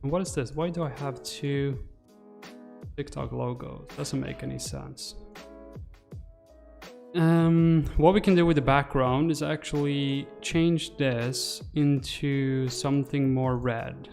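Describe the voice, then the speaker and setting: Young man thinking aloud, creaky voice. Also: medium pitch, fairly even intonation, takes it slowly, music in the background. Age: 20s. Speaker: livestream host. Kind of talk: casual livestream narration while working. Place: small room indoors. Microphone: desk microphone close to the mouth.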